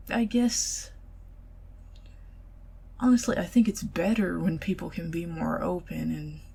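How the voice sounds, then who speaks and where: A middle-aged woman with a Southern US accent, speaking softly and partly in whispers in an ASMR date roleplay. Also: lowish voice, gravelly, slight background noise. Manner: intimate, soft-spoken, giggly, partly whispered.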